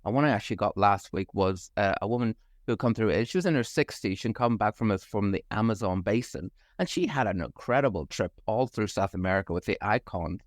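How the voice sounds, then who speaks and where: A man's voice: on the low side, animated, fairly quick, few pauses, dry recording. Northern Irish man, dry close mic. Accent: Northern Irish, softened by years abroad. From Northern Ireland. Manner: enthusiastic, fluent with some fillers. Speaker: travel company managing director, guest. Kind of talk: conversational podcast interview.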